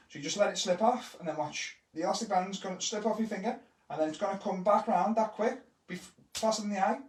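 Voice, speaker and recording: Scouse kids' entertainer, fairly high voice, chatty live tutorial, echoey room, mic set back. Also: male voice. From Liverpool, England. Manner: speeds up when excited, chatty and encouraging. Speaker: kids' entertainer teaching magic tricks. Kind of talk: casual live-stream tutorial. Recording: roomy echo, mic at a distance.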